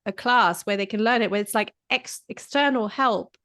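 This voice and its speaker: A woman's voice: medium pitch, moderate pace, fairly bright tone. A woman, middle-aged, hosting a casual conversational interview and often repeating words as she feels for the phrase. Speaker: podcast host.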